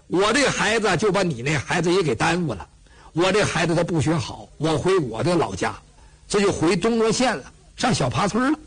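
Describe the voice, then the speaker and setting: A man's voice: high voice, sing-song, moderate pace, slightly rough, voice pushed down, heavily acted, poor audio. A man doing a worried old mother. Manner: earnest, worried.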